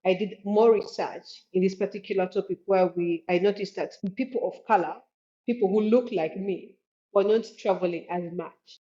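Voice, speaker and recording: A woman's voice: mid-range voice, steady tone, normal speed, warm tone. A woman with a Ugandan accent giving earnest, explanatory answers as a travel expert in an interview, often adding "you know". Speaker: podcast guest, Africa travel expert. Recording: slightly dull, lo-fi audio.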